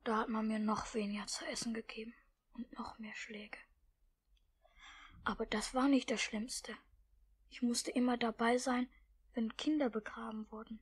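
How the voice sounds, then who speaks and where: A young performer playing a meek, frail, polite orphan boy in a 1950s German radio play, timid, faint and halting. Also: medium pitch, level tone, slightly rough, natural voice. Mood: weary, sad.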